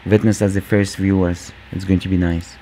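A man hosting a gaming channel, talking casually over gameplay with a squeaky voice, lots of fillers, and calling viewers "guys". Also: little pitch movement, normal speed, mellow, a little hiss or hum, rough recording. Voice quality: squeaky, just-woken voice. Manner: rambling, many fillers, addresses viewers as guys.

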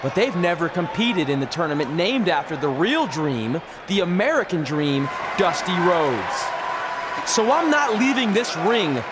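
Young game wrestler, earnest, kinda high voice, noisy game audio. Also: male voice, measured pace. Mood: determined. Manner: earnest, declamatory ring speech. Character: young male wrestler, eager and determined. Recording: game audio with steady background noise.

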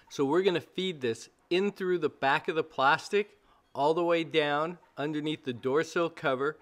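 Man narrating step-by-step how-to. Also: on the high side. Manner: plain instructional, step by step. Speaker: narrator of a DIY install video.

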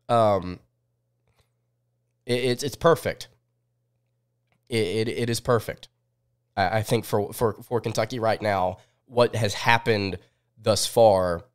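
A man in his twenties hosts a live sports recap show, speaking informally and often thinking aloud. His voice sits at a medium pitch and sounds sniffly from a cold. He is close to a podcast microphone in a small studio. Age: 20s. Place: small indoor home studio. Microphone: close desk podcast microphone.

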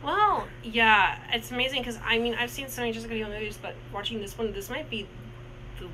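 A man's voice: extremely high pitch, a little fast, bright, forceful tone, slight background noise, rough recording. A man in his twenties co-hosting a casual movie review, talking in a rambling way with many restarts and fillers. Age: 20s.

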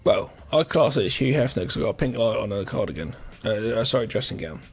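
A man's voice: on the low side, animated, fast talker, husky. A man co-hosting a podcast from home, joking and swearing in casual chat. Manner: joking, sweary, self-deprecating. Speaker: podcast co-host. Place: home room.

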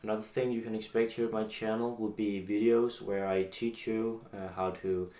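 A seventeen-year-old man from Denmark with a Danish accent, talking casually to the camera in a halting, hesitant way. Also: fairly low pitch, flat delivery, mellow. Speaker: YouTube vlogger introducing a channel. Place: small home office room, indoors. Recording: echoey room with faint background noise.

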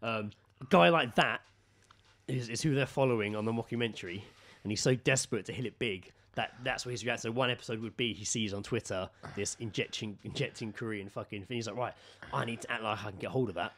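A man's voice: fairly low pitch, quick pace, fairly bright tone. A man co-hosting a comedy podcast, riffing casually with jokes and swearing. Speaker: podcast co-host. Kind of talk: casual comic banter.

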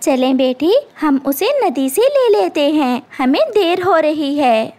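A woman's voice: high-pitched, expressive, slow pace, darkish tone, voice pushed up. A woman voicing a patient, chiding mother bird who instructs her children in a cartoon. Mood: concerned.

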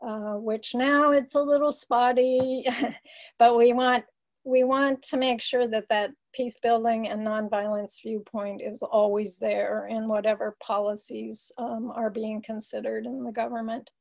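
An older woman giving an informal explanatory presentation over a video call, often halting as she searches for words. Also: on the high side, level tone, vocal fry, a little mellow. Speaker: guest speaker, peace advocate. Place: small home room, indoors. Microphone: computer webcam on a video call.

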